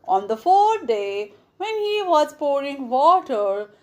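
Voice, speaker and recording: A woman reads a children's tale in a fairly high voice. Her delivery is slow and deliberate, with lively rises and falls suited to bedtime storytelling. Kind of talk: scripted bedtime story narration.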